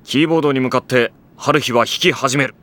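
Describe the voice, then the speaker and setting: A man playing a sardonic, long-suffering high-school boy who narrates and argues dryly in an anime audio drama. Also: fast talker, heavy background noise, lightly acted. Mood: wry narration. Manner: dry, wry, deadpan delivery. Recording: music behind the voice.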